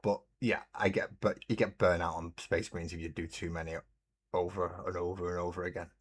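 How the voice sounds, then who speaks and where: A man hosting a relaxed hobby livestream, chatting in a rambling way and greeting viewers while he paints. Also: sits low, fairly quick, husky. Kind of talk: casual chat while painting. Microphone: desk mic on a webcam stream.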